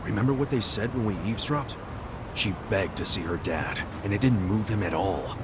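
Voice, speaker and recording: A man's voice: lowish voice, moderate pace, noisy background, lightly acted. A man playing a blunt, scrappy street-tough hero in an English game dub, speaking informally and expressively. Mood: skeptical.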